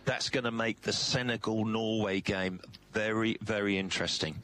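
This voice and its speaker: A man co-hosting a sports radio show, casually agreeing with his partner and adding steady football commentary. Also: on the low side, moderate pace.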